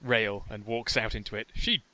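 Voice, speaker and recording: A man's voice: lowish voice, steady tone, moderate pace, pushed and bright, no room sound, low-quality recording. A British man co-hosting a podcast, laid-back and agreeable, often answering in short replies. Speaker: podcast co-host. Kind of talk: casual anime discussion.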